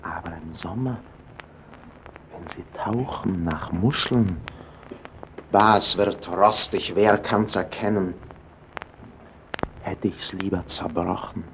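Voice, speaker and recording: A man playing a haunted, fearful man in a 1930s radio drama, often speaking in a hush or whisper. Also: sits low, slow, long pauses, background noise. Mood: fearful murmur. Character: haunted man washing off blood at night. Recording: dull old radio broadcast recording.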